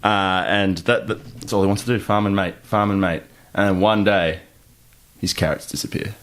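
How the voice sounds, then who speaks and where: A man's voice: low voice, steady tone, normal speed. A man hosting a casual comedy podcast, improvising hesitantly and swearing as he goes. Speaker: podcast host. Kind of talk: casual comedic group chat.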